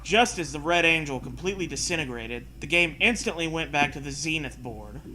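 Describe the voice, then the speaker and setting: A man's voice: on the high side, animated, brisk, bright, forceful tone, a little hiss or hum, poor audio. A man reading a story aloud and breaking in with sarcastic, sweary casual commentary. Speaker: host reading and mocking fan fiction.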